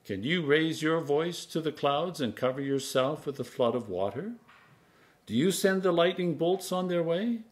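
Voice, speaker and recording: A man in his sixties, an Anglican priest, reading scripture aloud in a measured, solemn, emphatic manner. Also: a little high, animated. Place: home kitchen, indoors.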